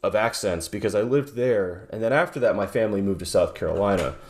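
Guest bassist, forties, lowish voice, thoughtful and halting, home video-call sound. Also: male voice, slight background noise. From southeastern New York. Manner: thoughtful, many restarts and fillers. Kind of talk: casual interview. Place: home room with guitars on wall.

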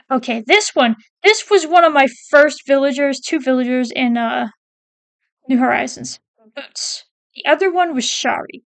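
A woman's voice: high voice, gravelly, no echo. A woman casually talking through a ranking of game characters, hesitant and self-correcting as she reads out wiki quotes. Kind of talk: casual commentary while reading a wiki.

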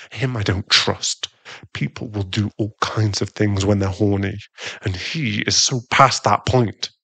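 A man's voice: fairly low pitch, a bit bright, no room sound, heavily acted. A man playing a jealous, doting werewolf husband in an intimate ASMR boyfriend roleplay, teasing and a little bratty. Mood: defensive, possessive. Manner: slow, intimate, teasing, with sighs and stammers.

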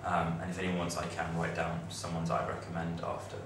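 Young man, deep flat voice, echoey room. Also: a little fast. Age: young adult. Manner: chatty, loose, many fillers and restarts. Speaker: student on a study-abroad panel. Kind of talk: casual informal talk to students. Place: classroom with projector screen. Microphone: far camera. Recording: echoey room, distant mic, noisy.

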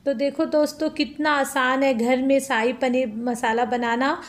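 Lady with a high voice walking thru a recipe at her stove, chatty, talks to viewers like friends. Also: flat delivery, a little slow. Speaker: cooking channel host. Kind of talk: friendly step-by-step recipe narration. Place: home kitchen at the stove.

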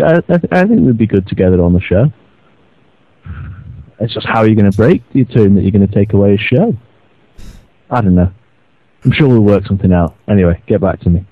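A man's voice: lowish voice, no room sound. A man leaving a casual, rambling voicemail in a hesitant, mock-earnest way. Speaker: voicemail caller. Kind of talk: casual rambling phone message. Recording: muffled internet voicemail, very dry.

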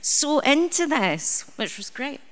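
A woman's voice: high-pitched, big pitch swings, slow, a bit bright. A woman speaking as assistant director on a live theatre panel, chatty and often restarting her sentences. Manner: chatty, with frequent restarts and fillers. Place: theatre stage. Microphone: handheld mic.

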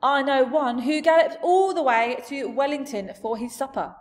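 A woman's voice: high-pitched, normal speed, pitched above the performer's own voice, natural voice. A woman voicing a big, friendly, blustering male giant from a storybook, speaking emphatically in jumbled grammar and made-up words. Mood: confiding. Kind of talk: storybook character voice.

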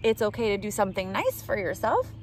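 A middle-aged woman reading a picture book aloud to young children in an animated, direct way, recorded outdoors in the woods. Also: medium pitch, fairly quick, faint music behind. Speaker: children's storytime reader. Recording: outdoor ambient noise.

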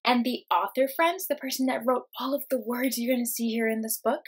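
A young woman, a teacher reading a children's storybook aloud, expressive and explaining things simply for young listeners. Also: fairly high pitch, a little fast, husky. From United States. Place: small room at home, indoors.

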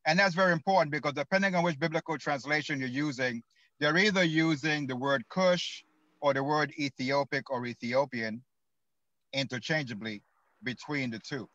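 A man's voice: fairly high pitch, moderate pace, pushed and bright, dry recording. A man on a panel who gives a lecture-like explanation, careful with his wording and restarting to correct himself. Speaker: panelist speaking on biblical history. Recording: dull, lo-fi online call.

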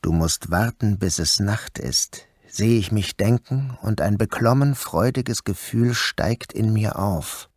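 An actor playing a troubled, dreamy grown son who narrates his surreal day in a measured, introspective way. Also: on the low side, darkish tone, slightly rough, no echo, clean studio sound, exaggerated voice. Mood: brooding, introspective. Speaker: narrator and protagonist. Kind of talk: first-person literary radio play narration. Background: music.

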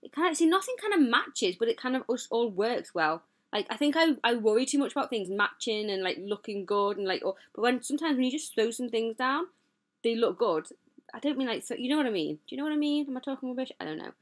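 A woman's voice: a little high, animated, fast talker. A woman hosting a gaming channel, chatting casually and gushing over a building as she tours it, rambling with self-deprecating asides. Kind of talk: casual game tour commentary. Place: voice-over over gameplay footage.

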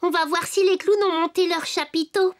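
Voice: very high voice, steady tone, a little fast, a bit bright. A performer voicing a cheerful, helpful little wooden puppet boy in a French children's cartoon, encouraging and explanatory, with music behind. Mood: friendly, eager.

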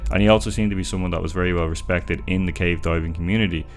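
A man's voice: sits low, fairly even intonation, rapid-fire. A young man from Dublin with a Dublin Irish accent, narrating a true story in an earnest, chatty way from a small room at home. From Dublin, Ireland. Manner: earnest, chatty commentary with restarts. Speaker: YouTube storyteller. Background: faint music.

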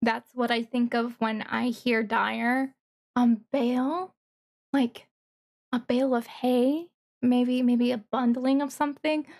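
A woman giving casual, excited reaction commentary as a streamer, thinking aloud haltingly with interjections. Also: a little high, vocal fry. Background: music from the watched video.

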